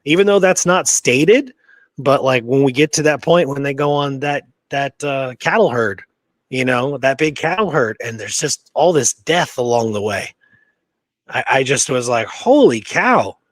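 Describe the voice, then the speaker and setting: A man's voice: medium pitch, animated, unhurried, no room sound. A man co-hosting a history show in casual, informal chat, thinking aloud as he goes. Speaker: co-host of a Western history show.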